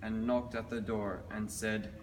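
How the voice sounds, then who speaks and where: A man with a fairly low voice reads a children's fairy tale aloud as a storyteller. He is recorded in a reverberant hall, with clear background noise. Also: level tone, a little slow.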